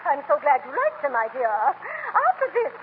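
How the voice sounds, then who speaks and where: An actress playing a snooty, fluttery society matron with an affected, stammering delivery in 1930s radio comedy. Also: female voice, high voice, huge pitch swings, moderate pace. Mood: condescending. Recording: noisy, tinny 1939 radio broadcast recording.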